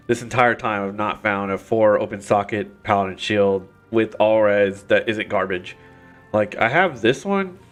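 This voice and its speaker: A man's voice: on the low side, a bit bright. A man streaming a video game at home while recovering from illness, his voice congested and nasal, rambling with many fillers and restarts. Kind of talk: casual gameplay commentary. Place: home gaming setup. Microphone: desk mic.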